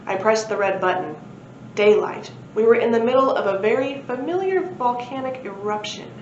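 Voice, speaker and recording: Expressive woman reading in a roomy space. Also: a little high, normal speed. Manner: expressive storytelling. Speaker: library read-aloud presenter. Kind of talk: children's story read-aloud with casual asides. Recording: roomy, muffled, faint background noise.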